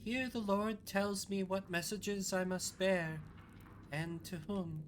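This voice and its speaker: Performer voicing a slow, devout visionary nun, a woman. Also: mid-range voice, steady tone, background noise, natural voice. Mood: solemn, reverent. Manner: solemn, measured, reverent. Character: devout visionary old nun, gentle, bewildered, haunted. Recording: music under the voice.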